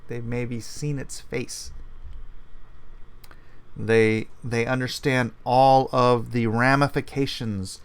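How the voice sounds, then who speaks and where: Slow, deliberate male commentary host. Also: medium pitch, steady tone, clean tone. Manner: earnest, argues step by step, repeats key points. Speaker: host reading viewer comments. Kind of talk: opinionated commentary show.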